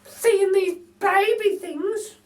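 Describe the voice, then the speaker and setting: A man voicing a chatty, excitable working-class lady burglar with exclaiming, colloquial speech in a children's storybook. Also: very high-pitched, extremely slow, pitched above the performer's own voice, exaggerated voice. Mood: curious, chatty. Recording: distant microphone.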